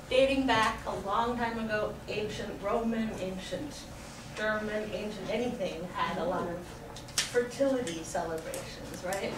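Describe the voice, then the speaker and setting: A woman teaching a school class informally, prompting students with questions and repeating their answers. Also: mid-range voice. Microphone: distant video camera in the room. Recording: distant mic, echoey room, clear background noise. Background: classroom noise.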